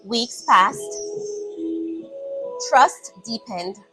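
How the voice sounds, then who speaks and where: A woman narrating a scripted dramatic story in a solemn, measured manner, in short phrases. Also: a little high, over music.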